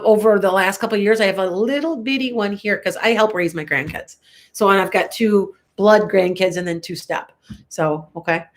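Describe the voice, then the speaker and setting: An older woman with a Chicago accent, congested and coughing a little, chatting and rambling through a live tarot reading for viewers with frequent laughs. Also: medium pitch. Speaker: tarot reader.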